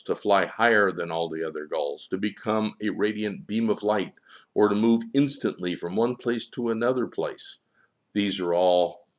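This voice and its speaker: A man's voice: deep voice, natural voice. A man voicing a stern, wise boy in a storybook, admonishing in solemn, declarative sentences. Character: stern, wise, all-knowing boy child, calmly admonishing. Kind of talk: storybook character dialogue.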